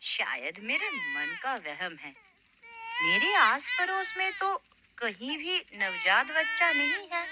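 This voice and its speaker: Woman acting a tender village mother, high and animated. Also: takes it slowly, heavy background noise, full character voice. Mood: puzzled, concerned. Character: kind, tender village woman who adopts an abandoned baby girl. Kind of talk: dramatised children's moral story narration.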